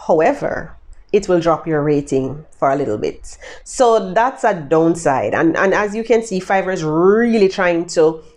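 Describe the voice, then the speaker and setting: A woman's voice: lowish voice, animated, a little slow, a little mellow. A Jamaican woman giving casual, chatty tutorial tips to her viewers, upbeat and addressing them directly. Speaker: vlogger giving freelancing tips.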